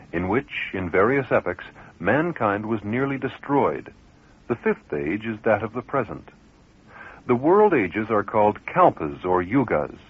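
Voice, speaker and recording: A man reading scripted documentary narration from books in a formal, declamatory manner, with a husky voice. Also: fairly low pitch. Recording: tinny, band-limited radio recording.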